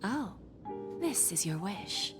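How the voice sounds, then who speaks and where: Sly, slow-speaking wish broker. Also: female voice, medium pitch, sing-song, music in the background. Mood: sly, businesslike. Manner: slow, deliberate, teasing, often drops into whisper. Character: sly woman bargainer of wishes, softly menacing and playful. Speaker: game character voice actor. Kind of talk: theatrical video game voice acting.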